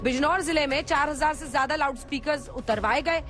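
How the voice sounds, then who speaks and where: A woman's voice: high voice, brisk. A woman reading scripted news narration in an emphatic, dramatic way as a reporter. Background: background music.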